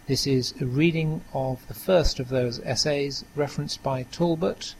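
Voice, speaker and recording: A man's voice: medium pitch, measured pace, a little mellow, low-quality recording. A man hosting a podcast, speaking in an earnest, conversational monologue straight to his listeners, with noticeable background noise. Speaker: podcast host. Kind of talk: opinionated informal monologue to listeners.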